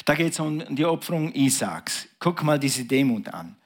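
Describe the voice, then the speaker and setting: A man preaching from the pulpit, his voice sitting a little high for a man. He swings from raised, excited bursts down to quiet, hushed asides, in the heightened style of a sermon. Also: moderate pace. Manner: preaching with big swings in pitch and volume, dropping to a hush. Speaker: pastor.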